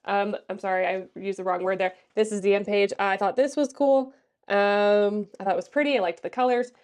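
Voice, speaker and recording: A woman's voice: medium pitch, steady tone, brisk, darkish tone, clean tone. A stuffed-up, congested woman in her late twenties talks through a book review in a sassy, chatty way. Her voice sits in an ordinary middle register, and she rambles with fillers and restarts. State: congested from allergies. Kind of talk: casual book review vlog.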